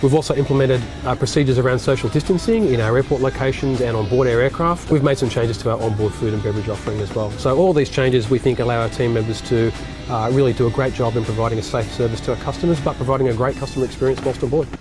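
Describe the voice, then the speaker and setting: A man speaking for an airline in a measured, corporate manner in a news interview clip. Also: mid-range voice, music in the background. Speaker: airline representative.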